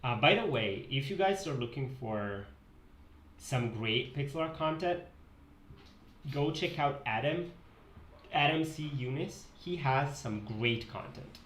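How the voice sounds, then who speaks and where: A man's voice: medium pitch, expressive, a little slow, a bit bright. Italian man, 20s, casual streamer, self-correcting ramble, roomy sound. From Italy. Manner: rambling, self-correcting, reads chat aloud. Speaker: pixel art streamer. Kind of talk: casual live-stream chat while drawing. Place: home desk room. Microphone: headset.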